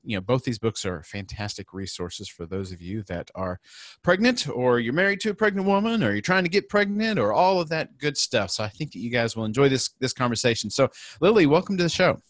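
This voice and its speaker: A man hosting a podcast interview, talking in a halting way with many fillers and restarts. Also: mid-range voice, big pitch swings, dry recording. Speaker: podcast host.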